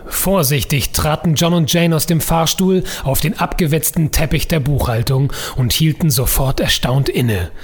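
A man's voice: fairly high pitch, moderate pace, hardly any pauses, breathy edge. A man reading scripted narration for an audio drama.

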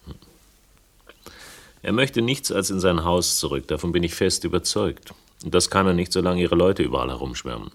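A man's voice: low voice, lively intonation, moderate pace. An actor playing a world-weary, wry investigator who narrates his own case in a radio crime drama. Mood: terse, determined. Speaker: narrator and protagonist.

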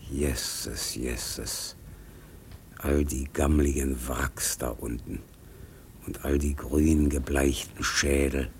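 An actor in a radio drama playing a brooding, defiant seafarer with a gravelly voice and a declamatory manner. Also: male voice, extremely low pitch, steady tone, takes it slowly, darkish tone, a little hiss or hum, heavily acted. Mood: grim musing. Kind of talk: German radio drama acting.

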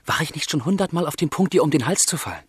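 A man's voice: on the high side, animated, fast talker, husky, no room sound, clean studio sound. An actor playing a passionate, tormented young man in a literary radio drama, speaking in formal, intense language. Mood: anguished, passionate. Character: passionate, tormented young man of feeling, letter-writing lover. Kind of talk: German literary radio drama, monologue and dialogue.

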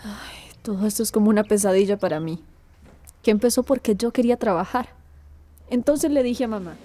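A woman's voice: mid-range voice, a little fast, warm tone, lightly acted. An actress playing a sincere, hopeful young woman in a radio drama. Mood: pained, reflective. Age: young adult. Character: young trafficking survivor, hopeful and sincere daughter.

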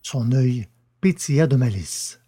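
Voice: medium pitch, expressive, slow pace, dark tone, husky. A narrator reading scripted story narration between lines of dialogue in an audio drama.

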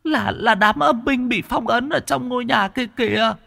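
A man voicing a timid ghost who stammers and pleads in a high, shaky voice as he answers a sorcerer. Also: normal speed, creaky, pushed and bright, long reverb tail, exaggerated voice. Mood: frightened pleading.

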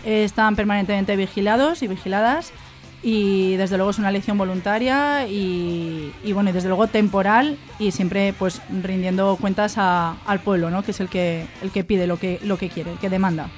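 A woman's voice: medium pitch, normal speed, faint music behind. A woman with an accent from Spain explains informally on the radio, didactically asking questions and answering them herself. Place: live radio studio.

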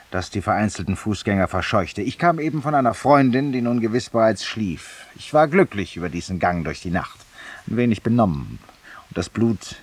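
A male actor playing a weary, ironic, haunted man who confesses to a stranger in a deliberate, courteous, literary monologue, in the style of 1950s German radio drama. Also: fairly low pitch, a little mellow, lightly acted. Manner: literary, deliberate, ceremoniously polite, confiding. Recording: hissy 1950s radio recording, distant voice.

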